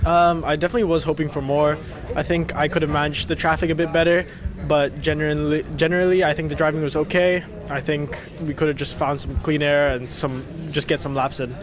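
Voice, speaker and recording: A man's voice: fairly high pitch, steady tone, a little fast. Youthful male driver from Singapore, interviewed casually, plain and hesitant, lightish voice, busy crowd background. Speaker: rookie racing driver being interviewed. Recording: clear crowd chatter behind, outdoors.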